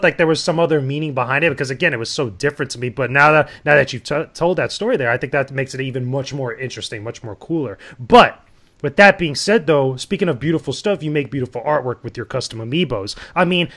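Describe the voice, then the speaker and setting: A man's voice: on the high side, fairly bright tone. A man hosting a casual interview podcast, hyped and enthusiastic, using slangy phrases. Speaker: podcast host.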